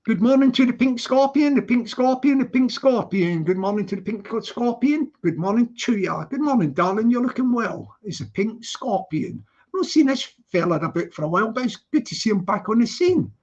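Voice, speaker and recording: A man's voice: extremely high pitch, animated, moderate pace, few pauses, darkish tone, highs cut off. A man with a Scottish accent hosting a casual livestream, greeting viewers by name in a sing-song way and chatting loosely with frequent laughs. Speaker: livestream host. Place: living in London.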